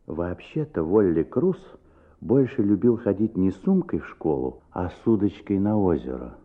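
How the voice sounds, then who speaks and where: A man's voice: deep voice, big pitch swings, moderate pace, phone-quality sound. A man acting as the reflective narrator of a children's radio play, telling the tale expressively. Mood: storytelling. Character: warm reflective male storyteller of a children's tale.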